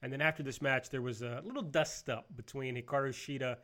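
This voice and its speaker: A man's voice: mid-range voice, fairly even intonation, a little fast, sharp tone. A man hosting a casual panel show, recapping and giving blunt opinions. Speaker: host of a wrestling review show.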